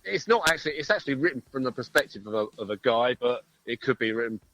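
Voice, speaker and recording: A man's voice: medium pitch, animated, fast talker, pushed and bright, no room sound, dull audio. A man, a musician being interviewed by phone on a headset, chatting casually in a jokey, rambling way. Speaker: band musician, interview guest. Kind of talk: casual chat. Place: outdoors, outside a pub. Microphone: phone call through Bluetooth headset.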